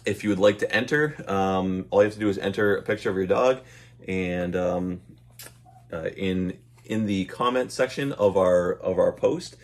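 Guy in his 30s, kinda low voice, laid back vlog chat in a room at home, bit hesitant. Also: normal speed. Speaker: vlogger and dog owner. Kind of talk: casual unboxing chat to camera. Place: small room at home, indoors. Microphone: camera mic at arm's length.

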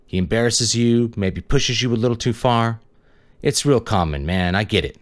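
A man's voice: fairly low pitch, normal speed, voice pushed up, close to an everyday voice. A man voicing a tired young male detective who pushes hard during questioning. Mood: pushy. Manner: pushy, needling.

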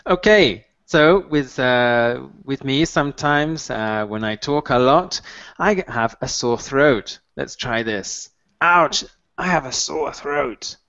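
A man's voice: medium pitch, expressive, fairly bright tone. A man in his thirties teaching an online English mini-lesson, patiently modeling phrases over a headset on a video call. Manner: clear, patient, encouraging, models phrases slowly. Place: small room at home, video call. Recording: dull, dry video-call audio.

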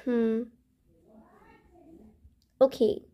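A woman with a fairly high voice casually narrates her gameplay as a channel host. She speaks very slowly and hesitantly, thinking out loud. Her sentences often trail off into soft murmurs. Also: little pitch movement, a little hiss or hum.

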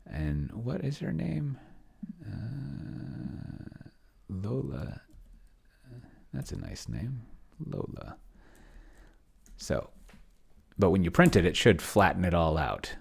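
Very deep, gravelly man thinking aloud. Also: very animated, slow pace, pauses often. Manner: rambling, muttering asides to himself. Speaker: host of a solo roleplaying demo stream. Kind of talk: casual screen-share walkthrough, thinking aloud. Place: indoors at a desk, on webcam.

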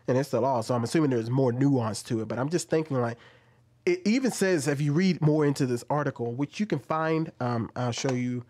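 A man in his thirties hosting casual commentary at home into a studio mic, talking with animated, questioning emphasis. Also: mid-range voice, fairly quick. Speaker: commentary host. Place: small room at home, indoors. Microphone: studio mic on an arm, wearing headphones.

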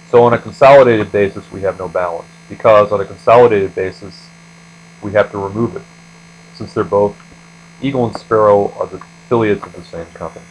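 A male instructor with a fairly low voice walks through material in an explanatory screencast style. He goes step by step, as in a lecture. A faint electrical hum sits behind his voice. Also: poor audio. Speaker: accounting instructor.

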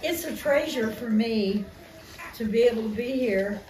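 A woman's voice: a little high, a little slow. A woman in her nineties sharing heartfelt memories as a church member giving testimony at a barn gathering. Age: 90s. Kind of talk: heartfelt reminiscing. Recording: echoey, noisy, far from mic.

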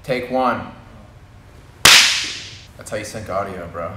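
A man's voice: fairly low pitch, takes it slowly, loud music behind the voice. Echoey, laid-back male martial arts coach. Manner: laid-back, informal, slangy, checks in often. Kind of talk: casual hands-on technique demonstration. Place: indoor gym with mats and padded walls. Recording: echoey room with background noise.

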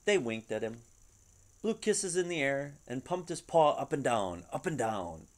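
A middle-aged man reading a children's picture book aloud at home in an expressive, dramatic storytelling manner. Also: mid-range voice, measured pace. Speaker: storybook reader. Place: living room at home. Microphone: webcam at about arm's length.

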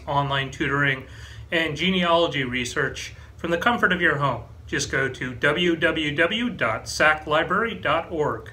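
An American man in his thirties leads a children's storytime as a librarian, speaking in an animated, exaggerated way for young listeners. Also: on the high side. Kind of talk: children's storytime, leading rhymes and movement songs. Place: small indoor meeting room with whiteboard.

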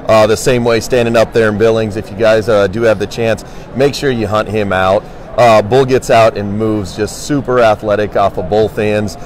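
A man's voice: lowish voice, fairly even intonation, normal speed. A man giving casual livestock commentary as a specialist on a panel. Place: indoor convention hall booth.

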